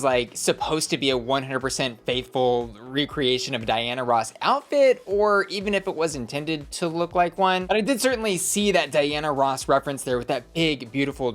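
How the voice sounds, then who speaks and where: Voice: mid-range voice, fairly bright tone. A campy, emphatic vlog host giving casual, opinionated recap commentary in slangy language. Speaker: host of a drag show review vlog.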